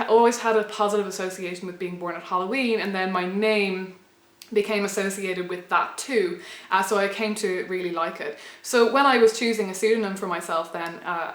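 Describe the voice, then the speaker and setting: A young woman with an Irish accent talks through a personal story in a loose, reflective way, doubling back and restarting as she thinks. Her voice sits at a middling pitch. The room around her is noticeably roomy, with echo off the walls. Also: steady tone, moderate pace, a bit bright. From Ireland. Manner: reflective, rambling with frequent restarts and fillers. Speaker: pagan blogger and vlogger. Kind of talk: casual personal storytelling to camera.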